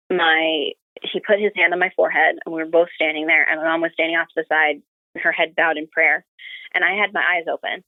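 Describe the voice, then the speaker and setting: Young lady podcast guest chatting casually, lowish voice, sounds like she's on a phone call. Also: dry recording. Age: young adult. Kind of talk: casual personal storytelling. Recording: narrow phone-like call audio.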